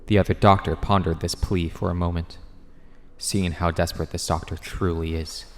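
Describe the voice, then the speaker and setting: A man's voice: low-pitched, flat delivery, normal speed, big-room sound, faint music behind. A man narrating a scripted dramatic story for an audio drama.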